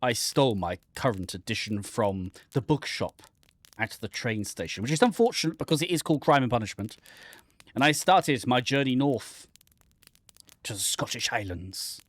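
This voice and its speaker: Guy doing a dramatic game read-through, unhurried, playful, dry muttered asides, no room echo. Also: medium pitch. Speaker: gaming channel host.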